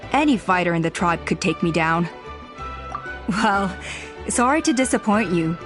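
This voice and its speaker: A woman's voice: on the low side, lively intonation, music in the background, lightly acted. Humble older woman elder played by an actress. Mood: self-deprecating, rueful. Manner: modest, wistful, measured. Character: humble older woman tribe elder, kindly veteran flier. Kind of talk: video game voice acting.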